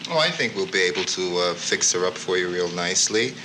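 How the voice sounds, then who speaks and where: A man's voice: low-pitched, lively intonation, fairly quick, pushed and bright, slight background noise, low-quality recording. A man explaining options to a customer in a plain-spoken, matter-of-fact way. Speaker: dog groomer.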